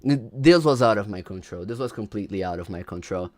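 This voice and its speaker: Man, young adult, livestream host, animated, very lively pitch. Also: fast. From Brazil. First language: Portuguese. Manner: animated, slangy, chats with viewers. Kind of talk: casual livestream commentary.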